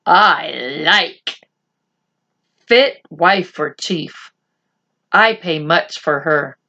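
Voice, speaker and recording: A proud, commanding little chief from a children's storybook, played by a woman reader. He talks in short, blunt broken phrases at an unhurried pace, on a slightly dull recording. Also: on the low side, bright, forceful tone, exaggerated voice. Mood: pleased, commanding. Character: tiny proud Native chief, male, terse and commanding.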